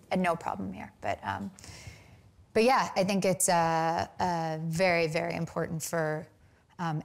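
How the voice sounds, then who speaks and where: Young american woman, kinda low voice, lots of ums and restarts, thinking out loud in an interview. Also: gravelly. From United States. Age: young adult. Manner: hesitant, many fillers and restarts. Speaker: film director, interview guest. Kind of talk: reflective interview answers.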